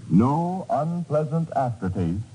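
A man's voice: mid-range voice, big pitch swings, takes it slowly, warm tone, a little hiss or hum, rough recording. A man announcing in an emphatic, polished 1950s radio commercial style. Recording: vintage 1950s radio broadcast.

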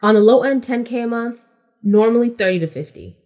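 A woman's voice: a little high, a little fast, lo-fi sound. Matter-of-fact woman explaining step by step. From California. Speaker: podcast guest. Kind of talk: casual interview chat.

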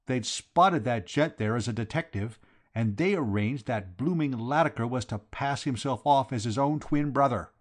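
A man's voice: mid-range voice, normal speed, voice pushed up, close to an everyday voice. A man voicing a brash, gloating working-class London man who drops his aitches in a storybook reading. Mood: gloating, accusing.

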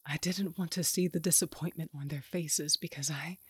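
An actress playing a hardened, self-loathing monster hunter, narrating an audio drama in an intense, profane, confessional way. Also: female voice, deep voice, steady tone, moderate pace, darkish tone, husky, dry recording. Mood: anguished. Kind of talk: first-person audio drama narration with acted dialogue. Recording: music bed behind the voice.

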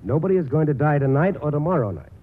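An actor playing a stern small-town doctor with a firm, pressing, measured manner in a 1940s radio drama. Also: male voice, a little high, expressive. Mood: stern, urgent. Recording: muffled, narrow-band 1940s radio broadcast.

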